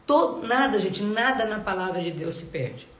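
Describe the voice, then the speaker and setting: A woman's voice: medium pitch, expressive, normal speed, fairly bright tone. Congested woman preaching in a roomy space. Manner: earnest, asks many rhetorical questions. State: congested, nasal from a cold. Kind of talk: live devotional Bible teaching. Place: home.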